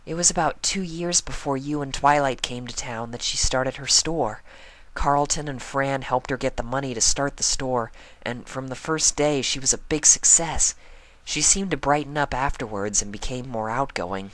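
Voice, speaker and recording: A man voicing a shy, gentle young female pegasus pony in a soft, hushed, breathy voice for a cartoon fan story. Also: mid-range voice, moderate pace, no room sound, natural voice, poor audio. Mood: soft, reflective.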